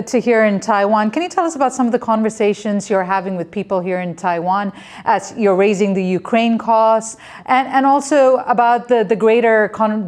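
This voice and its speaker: A woman's voice: mid-range voice, a little mellow. A woman hosting a news interview, asking questions in a composed manner. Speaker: news host.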